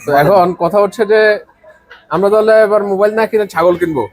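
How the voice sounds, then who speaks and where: A Bangladeshi man with a noticeably high-pitched voice hosts a casual shop promo. He chats and banters in a teasing, joking way as he presents the goods to viewers. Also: brisk. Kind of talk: casual bantering sales chat.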